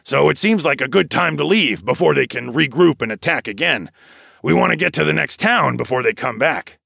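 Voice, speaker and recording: A man's voice: a little high, lively intonation, normal speed, creaky, sharp tone, slightly rough, no echo, clean studio sound, pitched above the performer's own voice, exaggerated voice. A man voicing a confident, encouraging older ex-trooper in an audiobook. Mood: firm, decisive. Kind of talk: audiobook character dialogue.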